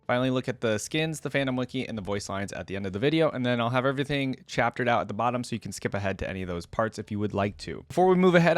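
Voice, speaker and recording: A man with a medium-pitched voice hosts a reaction video in a casual style. He moves between reading written material aloud and giving his own loose commentary. The sound is close and dry, with very little room in it. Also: no echo. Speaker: YouTube reaction host.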